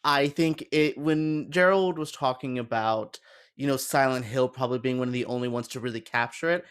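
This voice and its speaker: A man with a mid-pitched voice speaks as a guest on a casual film chat. He sounds like an enthusiastic fan, informal and conversational in the way he puts things. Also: moderate pace, fairly bright tone, smooth. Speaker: guest panelist on a gaming chat show.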